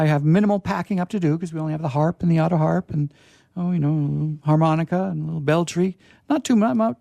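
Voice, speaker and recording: A man's voice: a little high, gravelly, warm tone, no echo, studio quality. A middle-aged man hosting a radio theater show, telling stories casually and theatrically, repeating phrases for emphasis. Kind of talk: casual chat and storytelling.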